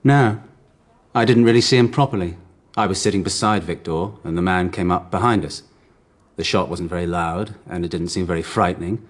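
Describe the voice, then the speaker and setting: Guarded, low-voiced businessman. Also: a little fast. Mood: matter-of-fact. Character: guarded businessman witness, evasive, curt, prickly under questioning. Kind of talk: radio drama acting.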